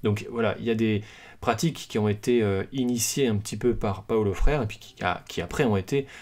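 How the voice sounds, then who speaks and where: A man hosting a podcast, talking through a book's ideas in a loose, unscripted way, with frequent pauses and restarts. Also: on the low side, a little mellow. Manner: hesitant, many fillers and restarts; self-deprecating humor. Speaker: podcast host presenting a book. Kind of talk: casual spoken book presentation.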